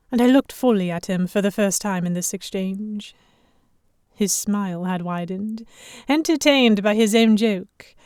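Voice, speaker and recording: A woman playing a sharp-tongued young lady hostage among pirates, narrating her own story in an expressive, introspective way. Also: medium pitch, no echo, clean studio sound, close to an everyday voice. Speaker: narrator and lead character. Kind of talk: first-person audio drama narration.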